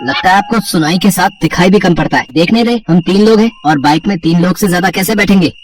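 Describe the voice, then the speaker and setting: A man's voice: sits high, fast, far from the mic, a little hiss or hum, close to an everyday voice. A man playing a carefree young college student, mocking and slangy, in a horror audio story. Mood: irritated sarcasm. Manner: joking, dismissive, slangy.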